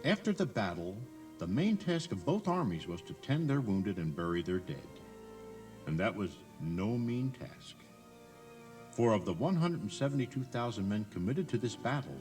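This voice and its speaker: A man's voice: fairly low pitch, darkish tone. A man giving scripted, dramatized tour narration in an emphatic, theatrical storytelling manner. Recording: 1980s cassette tour tape, music behind.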